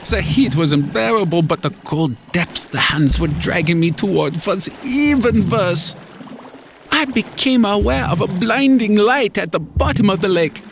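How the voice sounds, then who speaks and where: A man's voice: high voice, expressive, a little slow, gravelly, slight background noise. A man narrating a first-person horror story in a dramatic, scripted storytelling style. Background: music.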